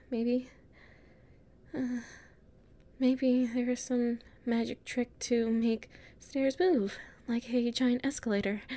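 A weary, anxious heroine of an audio roleplay, acted by a woman, mutters her way through a castle. Her voice is high, soft and breathy. She speaks slowly and haltingly, as if talking to herself. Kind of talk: audio roleplay acting, solo monologue.

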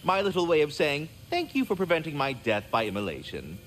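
A man's voice: a little high, wildly swooping pitch, fairly quick, a bit bright, slight background noise. A man voicing a courteous, fretful, erudite magical squirrel in a video game, speaking in formal, wordy, theatrical phrases. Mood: warm, grateful. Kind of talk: video game character acting.

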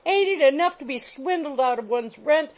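A storybook landlady in full fury, shrill and very high. She screams and scolds contemptuously, her voice swooping as she harangues. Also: animated, normal speed. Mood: furious, shrill. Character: shrill, furious, scolding working-class landlady.

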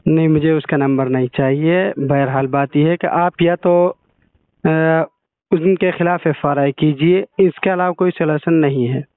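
A man's voice: fairly high pitch, fairly even intonation, moderate pace, no echo. A man working as a journalist on a phone call, questioning and advising the caller in a matter-of-fact way. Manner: matter-of-fact, brief acknowledgements. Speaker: local journalist taking a call. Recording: narrow-band, dry phone call recording.

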